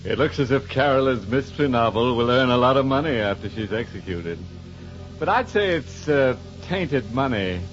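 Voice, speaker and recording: A man's voice: mid-range voice, lively intonation, moderate pace, lightly acted. Man playing sardonic ghoulish radio horror host, music behind. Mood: gleefully macabre. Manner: sardonic, punning, theatrical. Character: ghoulishly jovial horror-show host with macabre puns. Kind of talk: 1950s radio horror hosting. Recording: dull 1950s radio recording, music behind.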